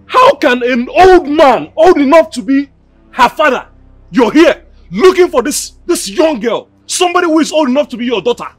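A man's voice: high-pitched, very animated, moderate pace, sharp tone, slightly rough, soft background music, exaggerated voice. A young actor playing a defiant, lovesick son who earnestly confronts an older rival and then his mother. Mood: accusing. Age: young adult. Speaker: son in love with a girl.

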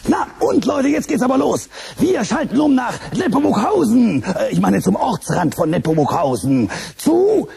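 A man's voice: very high-pitched, sing-song, moderate pace, gravelly, warm tone, breathy edge, exaggerated voice. An actor playing a cheerful, chatty male puppet host in a children's radio play. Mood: cheery. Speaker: show host.

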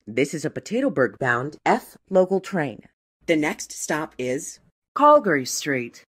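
Lady doing subway announcements, slow n formal, lowish voice, pitch moves around a lot. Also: very animated, husky. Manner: measured, formal, segmented delivery. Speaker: train announcer. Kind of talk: scripted transit announcement, spliced clips.

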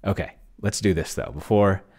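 A man's voice: low voice, normal speed, darkish tone. A middle-aged man thinking aloud casually at his desk, often muttering and trailing off as he narrates what he is doing on screen. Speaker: host. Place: indoor home room at a desk.

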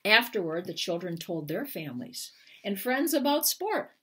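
An older American woman, a children's author, speaking to viewers at home in an expressive, unhurried storytelling manner. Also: medium pitch, slightly rough. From Iowa, United States. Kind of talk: picture book read-aloud and informal talk to viewers. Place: living room at home, indoors. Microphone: webcam at arm's length.

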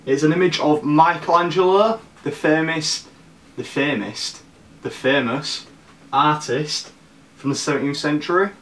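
An eighteen-year-old man with a Northern English accent from Leeds, talking casually and haltingly to camera as a fashion vlogger. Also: on the high side, a bit bright. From Leeds, northern England. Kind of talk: casual product review to camera. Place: small bare room indoors. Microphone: camera mic at a distance. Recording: echoey room, distant mic, background hiss.

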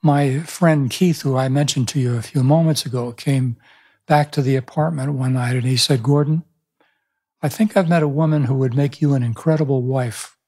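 Older man, husky voice, storytelling. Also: mid-range voice, fairly even intonation, normal speed, mellow. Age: 70s. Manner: thoughtful storytelling with frequent restarts. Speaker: pastor and author, guest. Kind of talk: reflective interview conversation. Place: small room, indoors. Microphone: studio microphone on boom arm.

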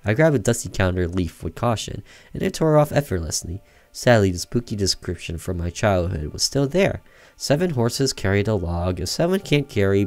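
Low-voiced man playing a game. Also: vocal fry, darkish tone. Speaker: gamer doing a let's play, reading game text aloud. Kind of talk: casual gameplay commentary mixed with read-aloud narration.

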